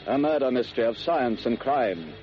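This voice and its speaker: A man's voice: medium pitch, lively intonation, moderate pace, slight background noise. A man announcing in a dramatic, scripted vintage radio narration style. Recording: dull old radio recording.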